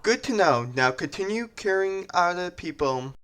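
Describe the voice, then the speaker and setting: A man's voice: high-pitched, lively intonation, moderate pace, a bit bright, clean tone. A man playing a skeptical medical researcher in an amateur zombie outbreak audio drama. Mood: relieved.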